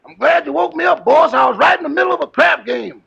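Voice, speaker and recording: A man playing a sleepy, cheeky, gambling-mad chauffeur with a gravelly voice in 1940s radio comedy. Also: extremely high pitch, animated, fast, sharp tone, muffled recording, heavily acted. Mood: cheerfully wry. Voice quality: gravelly, raspy. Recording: old 1940 live radio broadcast.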